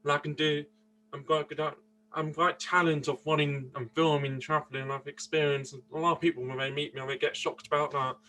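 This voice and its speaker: A man's voice: a little high, level tone, brisk, bright, forceful tone. A young man in his twenties from Austria, answering interview questions earnestly, often restarting and searching for words. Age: 20s. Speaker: interview guest. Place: indoors, seated interview.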